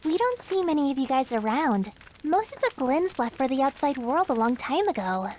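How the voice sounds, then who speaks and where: Very high-pitched, lively anime girl. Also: moderate pace, a little hiss or hum. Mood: curious, teasing. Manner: brash, slangy, direct. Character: bossy, energetic, inquisitive anime girl. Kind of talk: anime video game voice acting.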